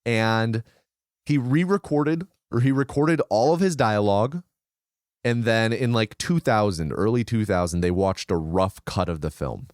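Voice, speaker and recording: A man's voice: on the low side, expressive, a little slow, a little mellow, dry recording, clean studio sound. A man co-hosting a casual film podcast, relaying researched behind-the-scenes trivia with frequent fillers and restarts. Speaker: podcast co-host.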